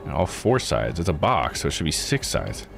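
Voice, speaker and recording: A man's voice: extremely low pitch, big pitch swings, moderate pace, creaky. A man doing casual let's play commentary, thinking aloud and reading game text out. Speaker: video game streamer. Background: game music.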